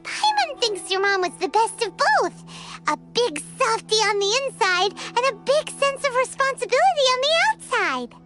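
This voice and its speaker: A woman voicing a tiny, excitable, childlike fairy companion in a video game, with a squeaky, perky delivery. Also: moderate pace, few pauses, faint music behind, heavily acted. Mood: curious, reassuring. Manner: perky, refers to self in third person. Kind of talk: video game character acting.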